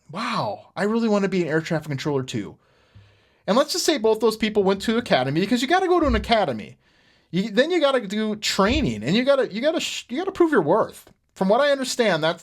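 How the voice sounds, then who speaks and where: A middle-aged man with an American accent giving unscripted podcast commentary in an earnest, halting manner, often repeating words. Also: on the high side. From United States. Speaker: podcast host. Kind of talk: unscripted political commentary with personal stories.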